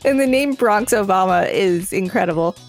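A woman's voice: medium pitch, lively intonation, normal speed, soft background music. A woman co-hosting a podcast, reading aloud and chatting casually. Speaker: podcast co-host.

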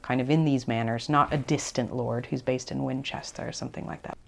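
A woman's voice: extremely low pitch, steady tone, a little fast, creaky, a little mellow. A woman archaeologist giving qualified, tentative explanations as an interview guest.

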